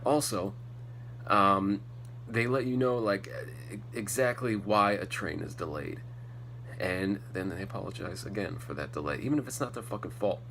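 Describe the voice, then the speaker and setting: Low-voiced, slightly congested American man ranting. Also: moderate pace, fairly bright tone. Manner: blunt, ranting, heavy casual swearing. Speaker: podcast host. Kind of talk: casual rambling solo podcast talk. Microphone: faulty setup, not the intended microphone. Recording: poor podcast audio with hum and noise.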